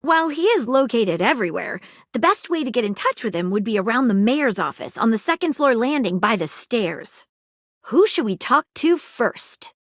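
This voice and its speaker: A formal, lively detective object. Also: female voice, fairly high pitch, normal speed, no room sound, clean studio sound. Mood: earnest. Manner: formal, precise detective phrasing. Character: earnest female detective, a household object. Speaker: voice actor in a dating game. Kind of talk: game dialogue clips. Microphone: studio booth mic.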